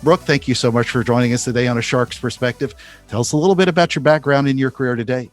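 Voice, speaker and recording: A man hosting a business podcast, reading a lively intro and then asking interview questions. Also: mid-range voice, fast talker, faint music behind. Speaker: podcast host.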